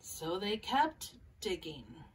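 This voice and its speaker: A woman in her thirties hosting a children's storytime, reading and introducing a picture book in a measured way with pauses. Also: on the low side, very animated, slight background noise. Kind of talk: scripted introduction and picture-book read-aloud. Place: small furnished room at home, indoors. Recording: voice a little far from mic.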